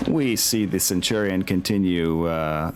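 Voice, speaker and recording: A man's voice: low voice, a little slow, clear, resonant tone. A man hosting a tabletop game as game master, thinking aloud as he casually narrates and works through rules. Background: music.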